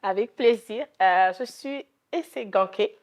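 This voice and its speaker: A woman's voice: mid-range voice, unhurried. A woman from Togo with a Togolese French accent, answering interview questions in an earnest, devout way as a gospel singer.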